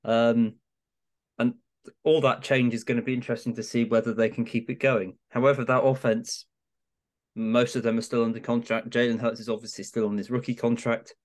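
A man's voice: mid-range voice, level tone, a little fast. A man giving casual, analytical opinions as a guest pundit on a sports podcast.

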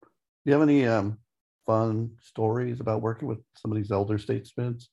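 A man's voice: fairly low pitch, a little fast, warm tone. A man co-hosting a casual music interview and putting questions to the guest. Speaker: co-host, interviewer.

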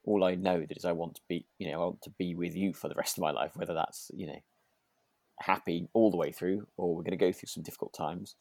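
Tentative man, lowish voice, closely recorded. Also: fairly even intonation, fast talker, darkish tone, no room sound. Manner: tentative, circles around points, seeks agreement. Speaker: podcast co-host. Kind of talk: casual review chat about a radio drama.

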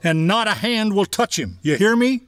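A man's voice: high voice, big pitch swings, moderate pace, a bit bright. An actor playing a fatherly, firm paymaster in an 1890s logging camp drama, with a folksy, commanding manner. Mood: firm, protective. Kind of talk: voice acting in a storyboard film.

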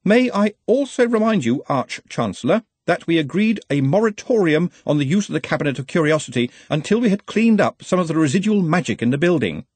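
A man's voice: on the high side, very animated, fairly quick, few pauses, darkish tone, slightly rough, no echo, pitched above the performer's own voice. A man voicing an anxious, pedantic, long-suffering wizard's assistant in a storybook, fussy and deferential. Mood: anxious protesting.

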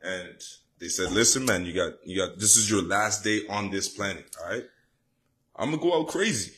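A man's voice: on the low side, lively intonation, normal speed, fairly bright tone. A young man telling stories in a casual podcast chat, repeating himself and laughing often. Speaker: podcast co-host.

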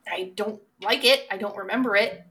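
A woman's voice: mid-range voice, normal speed, bright, forceful tone. A woman co-hosting a podcast, chatting casually about films in an emphatic, opinionated and sweary way. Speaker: podcast co-host. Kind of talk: casual film chat.

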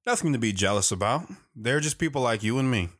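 A man's voice: mid-range voice, lively intonation, fast talker, fairly bright tone. A man playing a devoted, reflective wandering father who tells his own story in an audio drama, earnest and sentimental. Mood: reflective, humble. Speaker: narrator.

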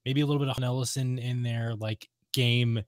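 A man's voice: medium pitch, steady tone, normal speed, no echo. Rambling, enthusiastic man chatting, close dry sound. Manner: rambling, enthusiastic, repeats himself for emphasis. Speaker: podcast co-host discussing video games and lore. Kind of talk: casual chat.

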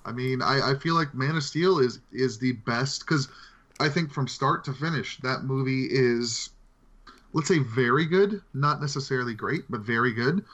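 A man's voice: mid-range voice, normal speed, fairly bright tone. A man co-hosting a podcast, giving casual opinions about movies. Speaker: podcast co-host. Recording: slightly dull, muffled audio.

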